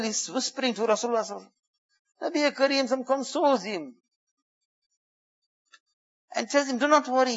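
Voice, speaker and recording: Emphatic preacher man, dull lo-fi sound. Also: sits high. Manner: shifts between loud emphatic lines and hushed quiet ones; recites Arabic verses quickly in chant. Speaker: Islamic preacher. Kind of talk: sermon storytelling.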